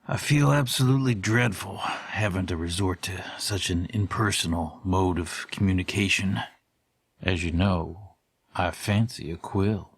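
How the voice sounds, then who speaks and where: A man playing a formal, wordy middle-aged storyteller, giving a measured, ornate monologue in an audio horror drama. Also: low voice, breathy edge, dry recording, exaggerated voice. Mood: confiding, grave. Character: formal, verbose middle-aged man recalling haunting courier days.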